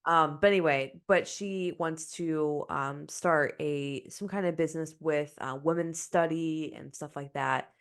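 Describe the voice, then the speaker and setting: A woman's voice: low-pitched, fairly even intonation, measured pace, hardly any pauses, clear, resonant tone. A young woman co-hosting a podcast in casual chat, speaking close to a microphone in a small room. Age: young adult. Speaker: podcast co-host. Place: small indoor room, remote video recording.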